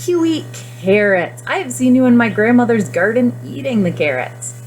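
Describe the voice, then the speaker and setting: A woman's voice: a little high, animated, normal speed, darkish tone, slight background noise, voice pushed up, lightly acted. A woman voicing eager young village children in a storybook, giving simple, keen answers.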